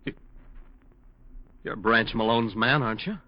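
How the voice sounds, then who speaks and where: A man's voice: lowish voice, a little slow, lightly acted. An actor playing a troubled, earnest male defense lawyer in 1940s radio drama style. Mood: resigned, puzzled. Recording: muffled old radio recording.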